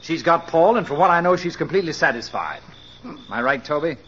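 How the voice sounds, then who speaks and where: An actor playing a hot-tempered, bossy and exasperated fiance in 1940s radio drama style. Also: male voice, on the high side, animated, a little fast, fairly bright tone. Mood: bitter. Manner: brusque, commanding, exasperated. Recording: dull, hissy old radio recording.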